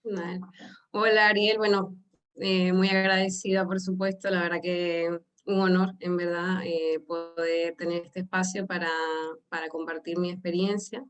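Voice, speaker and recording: Venezuelan woman, soft-spoken guest. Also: on the low side, level tone, normal speed, clear, resonant tone. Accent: Venezuelan Spanish. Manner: hesitant, grateful, soft-spoken with small laughs. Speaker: yoga teacher, guest. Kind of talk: interview answers.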